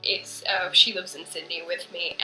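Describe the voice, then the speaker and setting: A woman's voice: on the low side, flat delivery, normal speed, sharp tone, soft background music, poor audio. A young woman born in Canada and raised in Bangladesh, speaking candidly about her life in a documentary interview, often dropping to a near whisper.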